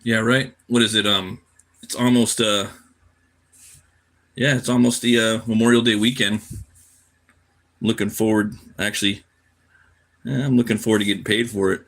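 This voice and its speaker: A man's voice: on the low side, steady tone, moderate pace, pauses often, fairly bright tone. A tired man chatting casually on a livestream while he draws, laid-back and slangy, half muttering to himself. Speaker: cartoonist live streamer.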